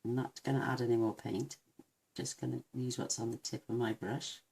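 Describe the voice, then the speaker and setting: A woman with a croaky voice, chatting warmly through a card-making demonstration and gushing over each step. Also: extremely low pitch, fairly even intonation, moderate pace. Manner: gushing, talks to viewers while working.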